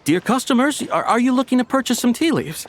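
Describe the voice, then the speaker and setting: Eager, high-voiced tea merchant. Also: male voice, very animated, a little fast, exaggerated voice. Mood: eager, ingratiating. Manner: salesman patter, obsequious. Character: eager, polite male tea shop merchant. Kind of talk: video game voice acting.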